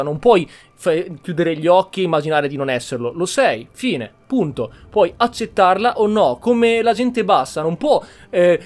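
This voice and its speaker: A man with a high-pitched voice comments casually on a game. He is emphatic and opinionated, repeating himself and restarting his phrases. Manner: emphatic, repetitive, halting self-corrections. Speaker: gameplay streamer.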